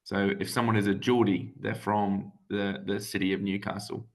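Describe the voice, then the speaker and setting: A man's voice: lowish voice, level tone, moderate pace. A man, a guest speaker chatting to students over a video call, friendly and full of hesitant fillers and restarts. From England. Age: 20s. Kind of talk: casual explanatory talk to students.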